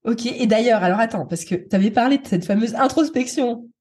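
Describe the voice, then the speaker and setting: A woman's voice: fairly high pitch, brisk, darkish tone. A woman hosting a casual interview, encouraging her guest and asking curious, informal questions. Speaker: podcast host, interviewer.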